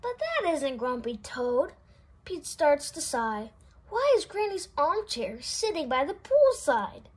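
A woman reading a children's picture book aloud in an expressive, sing-song way. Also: high voice, slow pace. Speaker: storybook reader. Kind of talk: children's read-aloud narration.

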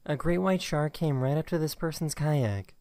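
A narrator reading short scripted lines over internet clips, in an even, plain delivery. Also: medium pitch, normal speed, faint music behind. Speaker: clip show narrator.

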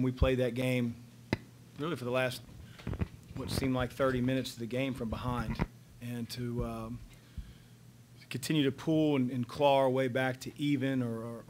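A man in his fifties answers reporters as a basketball coach, his delivery level and even in pitch, pausing often and restarting his sentences. He speaks into a table microphone in a press room, with a faint hiss underneath. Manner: measured, halting, many fillers and restarts. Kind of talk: post-game press conference answers. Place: press room in front of a sponsor backdrop. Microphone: table microphone on a stand.

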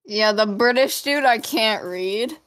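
A woman's voice: on the high side, slow pace, fairly bright tone. A woman playing a game with a friend, laid-back and giggly, chatting casually. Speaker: co-player. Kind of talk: casual gameplay chat.

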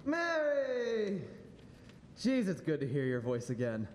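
Young man acting brash high-pitched businessman. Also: wildly swooping pitch, takes it slowly, heavily acted. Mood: jovial, teasing. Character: Sam, brash, jovial young businessman on telephone. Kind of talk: live radio play reading. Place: large auditorium stage, standing at mic. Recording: reverberant auditorium, noisy rumble.

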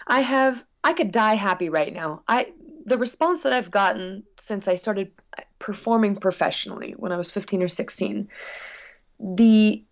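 A woman's voice: medium pitch, normal speed. A woman speaking earnestly and reflectively as a musician being interviewed, in casual conversation. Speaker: guest musician. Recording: dull, lo-fi sound.

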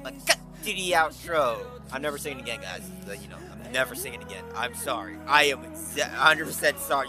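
A young man with a headset mic in his bedroom, giving hyped, playful commentary on his game and joking about his own singing. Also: on the high side. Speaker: gaming YouTuber. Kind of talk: casual gameplay commentary and outro. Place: bedroom at home, indoors.

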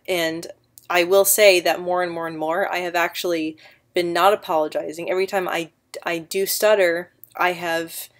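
A woman in her twenties speaks to viewers from her living-room sofa, her pitch sitting slightly low. She stutters often, catching on sounds and repeating words, while keeping an earnest, encouraging tone. The sound comes through a built-in webcam microphone. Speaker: vlogger. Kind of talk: casual vlog to camera. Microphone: built-in webcam mic.

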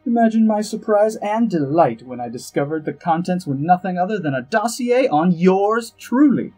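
Male actor as elf ambassador, theatrical, arch, self-satisfied, flowery speech, husky voice, audio drama, soft music behind. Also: high-pitched, lively intonation, normal speed, low-quality recording. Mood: amused, smug. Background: music under the voice.

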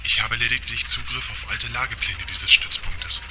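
Man voicing a polite male car computer, precise, measured, literal-minded, medium pitch, radio play, noticeable noise. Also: level tone, close to an everyday voice. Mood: alert, factual. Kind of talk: amateur German radio play acting.

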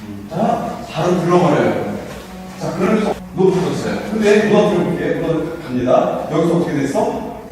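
High-pitched man coaching in an echoey hall. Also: sing-song, moderate pace, a little mellow, soft background music. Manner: encouraging, repeats short prompts. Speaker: walking posture coach. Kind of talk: hands-on instruction to trainees. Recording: echoey hall, distant mic, faint noise.

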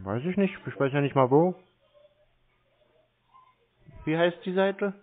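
A man talking curtly on a phone call, deadpan and mocking. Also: fairly high pitch, brisk. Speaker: scam-baiter taking phone calls. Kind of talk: unscripted phone conversation. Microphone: telephone call recording. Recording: tinny narrow-band phone line.

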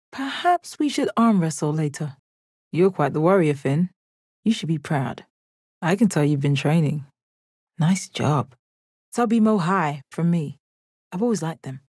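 A calm alien warrior woman for a fighting video game. The voice sits fairly low and speaks in a measured, formal, deadpan way. She sounds confident and kind beneath the stoicism, recorded very dry with no room sound. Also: dry recording. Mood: encouraging, confident. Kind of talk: video game character voice lines.

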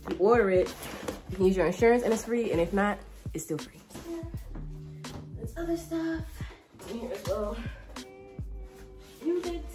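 A woman's voice: medium pitch, lively intonation, normal speed, long pauses. A woman in her twenties with an American accent chats casually and informally to camera in slangy language while doing chores at home. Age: 20s. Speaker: home and cleaning vlogger. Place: inside house rooms, bedroom and basement. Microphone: camera or phone set at a distance. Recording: roomy home echo, distant mic, background music. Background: music.

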